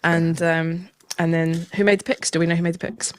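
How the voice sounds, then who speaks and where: A woman co-hosting a band podcast, her voice croaky from being unwell, talking in a rambling way full of fillers. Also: fairly low pitch, flat delivery, fairly quick, a crowd in the background. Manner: chatty, rambling with many fillers. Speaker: podcast co-host, band member.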